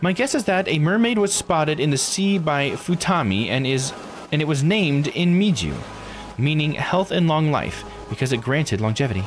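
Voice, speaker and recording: A man voicing a bright, courteous local guide from a visual novel, reciting lore with polite enthusiasm. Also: on the high side, animated, normal speed, voice pushed up, natural voice. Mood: informative, earnest. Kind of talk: visual novel character read aloud. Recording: game music under the voice.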